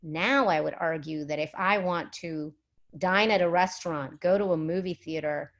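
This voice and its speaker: A woman's voice: fairly low pitch, moderate pace, muffled recording. A middle-aged woman speaking as a physician at a virtual summit, explaining in an articulate, conversational way with emphasis and rhetorical questions.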